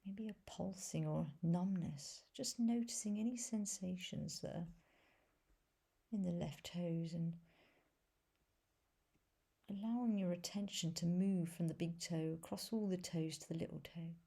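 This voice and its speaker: A woman leading a guided meditation as a teacher, speaking softly and gently in a breathy voice with long pauses between phrases. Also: on the low side. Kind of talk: guided body scan meditation.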